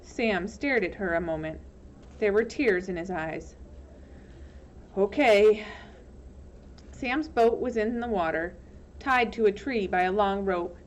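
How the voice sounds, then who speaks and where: A woman's voice: mid-range voice, measured pace, muffled recording, slight background noise. A woman reading a children's novel aloud in scripted storybook narration. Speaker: audiobook reader.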